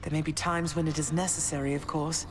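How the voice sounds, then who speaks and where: An actress playing a gracious goddess queen and mother in a video game, gentle, polite and measured, with music behind her. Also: low voice, little pitch movement, lightly acted. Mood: reflective.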